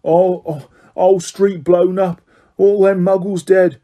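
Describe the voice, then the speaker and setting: Man doing a high, gossipy bus conductor for an audiobook; excitable chatter. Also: takes it slowly. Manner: gossipy, breathless, dramatic.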